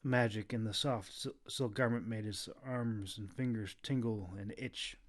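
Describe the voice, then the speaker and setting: A man's voice: lowish voice, steady tone, a little slow. A man reading his own fantasy novel aloud as a dramatic scripted audiobook narration.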